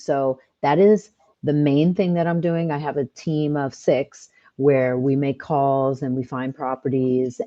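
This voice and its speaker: A woman's voice: low-pitched, a little mellow, highs cut off. A woman in her fifties, a guest in a casual interview, talking in an upbeat, chatty way and laughing often. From California. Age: 50s.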